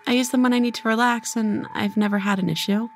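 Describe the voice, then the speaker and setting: A woman's voice: fairly high pitch, steady tone, quick pace, a little hiss or hum, natural voice. A woman playing a breezy, persuasive, gossipy young college friend in an audio horror drama. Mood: reassuring.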